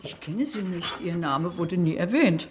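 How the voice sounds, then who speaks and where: An actress playing a wry, scheming female carer who narrates a radio crime drama in a dry, measured, sardonic way. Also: fairly low pitch, expressive, a little hiss or hum. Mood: coolly defiant. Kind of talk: radio play narration and dialogue.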